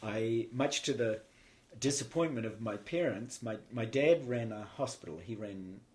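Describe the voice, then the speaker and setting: A man's voice: mid-range voice, normal speed. A man with a New Zealand accent, a guest telling his life story in a reflective, meandering way, often restarting his sentences. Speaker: guest, spiritual teacher. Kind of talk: casual storytelling interview.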